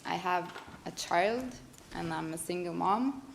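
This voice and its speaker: A woman's voice: fairly low pitch, a little slow, creaky, a little hiss or hum. A woman in her thirties from northern Norway giving a conference presentation, informal and hesitant with frequent fillers. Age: 30s. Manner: informal, hesitant, some laughter. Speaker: conference speaker. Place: conference hall.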